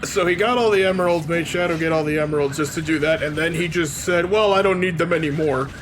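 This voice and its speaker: A man's voice: fairly high pitch, fast talker, background noise. A man giving casual, joking game commentary in a sarcastic, mocking way. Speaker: let's play commentator. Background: loud game music.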